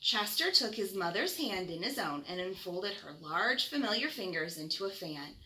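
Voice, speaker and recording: Female educator reading a children's story: expressive, bright, wide melodic swings, deliberate emphasis for young listeners. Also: medium pitch, a little hiss or hum, rough recording. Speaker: school educator.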